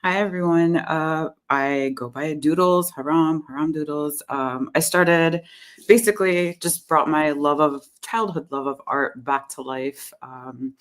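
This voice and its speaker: A woman co-hosts an online talk and speaks in an easygoing, laid-back way. Her voice is notably low for a woman. She talks over a video call. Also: fairly even intonation, measured pace. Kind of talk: casual conversation.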